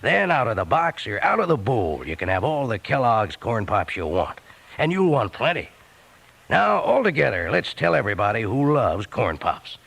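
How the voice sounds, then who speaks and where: An actor playing a folksy, chummy cowpoke announcer giving an old-time radio commercial pitch. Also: male voice, big pitch swings, moderate pace, gravelly, fairly bright tone, slightly rough, full character voice. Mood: chummy, upbeat. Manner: folksy, chummy, drawling slang.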